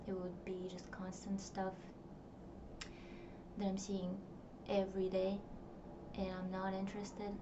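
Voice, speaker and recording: A young woman whose first language is Russian, talking in a rambling, reflective way with many fillers, recording a personal diary vlog. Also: mid-range voice. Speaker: vlogger. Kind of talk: casual diary vlog to camera. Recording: distant, dull, noisy home recording.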